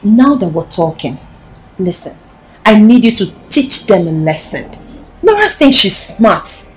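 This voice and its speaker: An actress playing a scheming, conspiratorial confidante in a film drama. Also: female voice, mid-range voice, big pitch swings, a little slow, poor audio. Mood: admiring, conspiratorial. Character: scheming female confidante egging on her friend.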